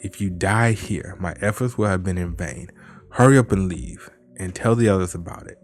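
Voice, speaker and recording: A man's voice: low-pitched, moderate pace, faint music behind, natural voice. A man reading aloud a self-sacrificing, weary fantasy game warrior from a webtoon, earnest and dramatic. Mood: weary, resigned. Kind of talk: webtoon dialogue read aloud.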